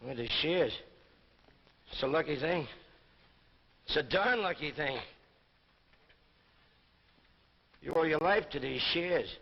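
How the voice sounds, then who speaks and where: An actor playing a gruff, overworked magazine editor, blunt and sardonic, in 1950s television drama style. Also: male voice, a little high, animated, moderate pace. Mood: relieved, conciliatory. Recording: very noisy, muffled old television soundtrack.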